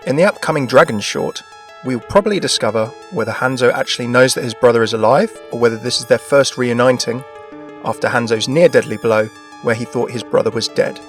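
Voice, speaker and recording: A man's voice: mid-range voice, fairly quick. A man presenting a gaming video in a scripted narration style. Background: background music.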